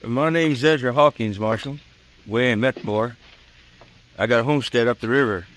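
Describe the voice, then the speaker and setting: An actor as an earnest, folksy frontier homesteader. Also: male voice, medium pitch, normal speed, a bit bright, lightly acted, low-quality recording. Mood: earnest, insistent. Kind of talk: 1950s radio western acting. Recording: old-time radio recording.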